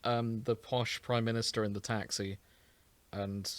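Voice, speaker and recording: A man's voice: fairly low pitch, level tone, a little slow, dry recording. A man hosting a casual chat podcast, explaining a story in a storytelling way. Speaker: podcast host.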